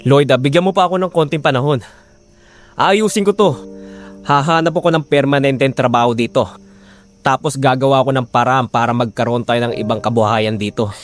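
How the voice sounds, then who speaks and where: A man plays a hard-pressed husband who will not give up. His medium-pitched voice is earnest and imploring, acted for an audio drama, over faint music. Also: a little fast, natural voice. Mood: pleading. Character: struggling, earnest husband and father, pleading, steadfast. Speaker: voice actor. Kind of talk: Filipino audio drama acting.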